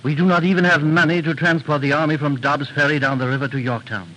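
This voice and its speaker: A man's voice: fairly high pitch, fairly even intonation, fast talker, sharp tone. An actor, a man, playing a dignified, earnest army general in a formal, solemn 1930s radio drama manner. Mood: grave, urgent. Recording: noisy 1930s radio recording.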